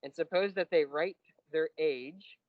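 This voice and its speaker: A man's voice: a little high, no echo, narrow, tinny audio. Patient man lecturing on logic. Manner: patient, explains step by step, slows on key terms. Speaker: math lecturer. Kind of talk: classroom lecture.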